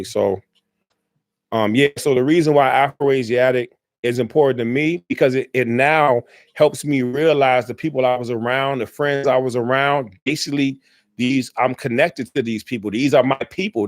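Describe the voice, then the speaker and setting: An earnest young man answers questions at length, circling back and correcting himself as he goes. His voice sits at an ordinary middle pitch. The recording is close and dry, with no room sound. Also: dry recording. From South Side Chicago, USA. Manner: rambling, self-correcting, earnest. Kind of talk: casual spoken Q&A.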